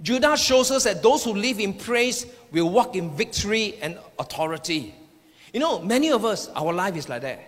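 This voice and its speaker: A man's voice: high voice, very animated, normal speed. A man preaching a sermon, declaring his points emphatically and repeating phrases, recorded in an echoing hall. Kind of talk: sermon with personal prophetic words to the congregation. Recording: echoing hall with long reverb.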